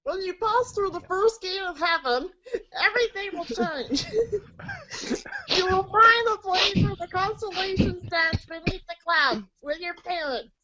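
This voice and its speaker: A very high, bright old grandmother ghost, fond and giggly. She speaks in theatrical, cryptic proclamations for an amateur radio play, on a slightly dull lo-fi recording. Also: a little slow, heavily acted. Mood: mystical, tearful. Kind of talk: amateur reader's theater acting.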